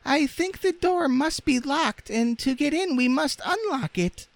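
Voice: high-pitched, lively intonation, measured pace, no room sound, pitched above the performer's own voice. 音调极高、活泼热切的小布娃娃安. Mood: relieved, thinking. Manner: bright, eager, exclamatory.

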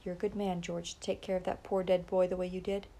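A woman's voice: mid-range voice, lively intonation, a little fast, a little mellow, close to an everyday voice. A woman voicing a determined young sweetheart. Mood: tender. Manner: coaxing, earnest.

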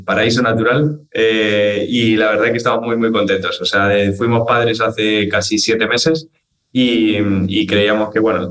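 Man in his twenties, relaxed and low. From Argentina. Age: 20s. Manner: relaxed, rambling with restarts. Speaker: guest, trainer. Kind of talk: casual interview talk.

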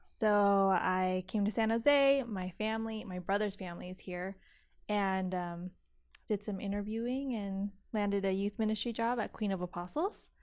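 A woman's voice: medium pitch, moderate pace, darkish tone, clear, resonant tone. A woman in her thirties from California, a youth minister guesting on a podcast, bubbly and giggly with lots of "um" and "like". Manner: bubbly, giggly, filler-heavy. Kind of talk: casual interview.

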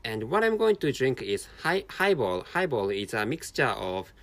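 A tired Japanese man talks alone in English with a clear Japanese accent, stopping and restarting his phrases again and again. His pitch rises and falls a lot from line to line. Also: sing-song, a bit bright, no room sound. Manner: halting, many restarts and repeated words. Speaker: podcast host. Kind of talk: casual solo monologue.

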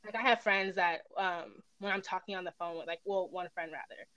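A woman's voice: on the low side, brisk, sharp tone, dry recording, dull audio. A woman in a casual personal conversation, speaking candidly and reflectively as she feels her way through her phrasing.

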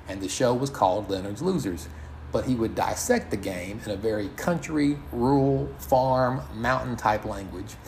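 The low-voiced man you'd hear on a homemade podcast, talking haltingly and informally over slight noise. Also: a little mellow. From United States. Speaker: podcast host. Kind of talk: informal solo podcast monologue. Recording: audible background noise.

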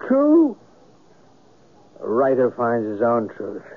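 A man's voice: medium pitch, wildly swooping pitch, slow pace, full character voice. A man acting the part of a reflective, dramatic writer with grave intensity in an old radio drama. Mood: evasive, wry. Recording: tinny, hissy old radio broadcast.

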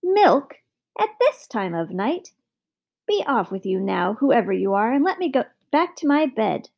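A woman's voice: a little high, sing-song, a little slow, vocal fry, a little mellow, exaggerated voice. A woman voicing an irritable, sleepy male farmer in a storybook, snapping and bellowing. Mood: angry bellowing. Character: grumpy sleepy male farmer, money-minded.

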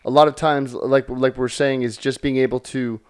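A man's voice: medium pitch, steady tone, normal speed, a little mellow, clear, resonant tone. A man co-hosting a podcast who rambles casually and swears now and then. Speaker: podcast co-host.

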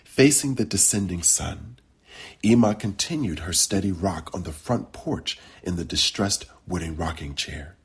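A man narrating a literary short story as its author, with deliberate, dramatic phrasing. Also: sits low, expressive, husky. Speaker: author reading his own short story.

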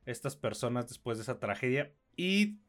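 A man's voice: mid-range voice, big pitch swings, normal speed. Mexican man, casual podcast co-host. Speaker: podcast co-host reviewing films. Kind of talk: casual film review chat.

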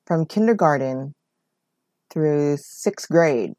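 A woman's voice: sits low, expressive, slow pace, dark tone, no echo, studio quality. A woman, telling her life story as a podcast host in a rambling, conversational way. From Hawaii. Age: 40s. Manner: rambling, conversational, leans on "you know" and "whatnot". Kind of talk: personal storytelling monologue.

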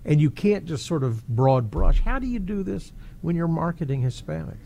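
Slightly high-voiced, casual radio interviewer. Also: male voice, lively intonation, normal speed, vocal fry, warm tone. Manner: conversational, loose, with ums and restarts.

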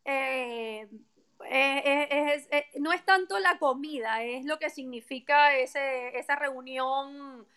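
A woman's voice: high-pitched, takes it slowly, fairly bright tone. A woman, a real estate agent, chatting as a guest on a live stream in a friendly way, with many fillers. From Venezuela. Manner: friendly, many fillers and restarts, laughs easily. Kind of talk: casual interview chat.

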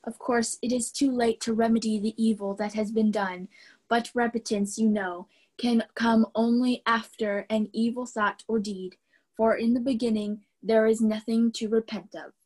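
A woman voicing a remorseful male demon who speaks softly and pleadingly in a storybook reading. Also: a little high, little pitch movement, normal speed, lightly acted, low-quality recording. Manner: soft, pleading put-on voice.

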